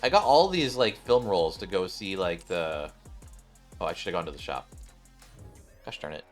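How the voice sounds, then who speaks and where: A man doing casual, slangy gameplay commentary, thinking out loud as he plays. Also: deep voice, lively intonation, moderate pace. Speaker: gaming streamer. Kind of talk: casual gameplay commentary, reading dialogue aloud. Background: game music.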